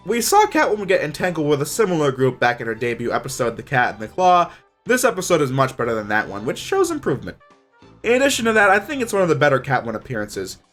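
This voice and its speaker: Young guy doing a scripted review, kinda high voice that swings a lot, informal and opinionated. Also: a bit bright. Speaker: video reviewer. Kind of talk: scripted review narration, casual.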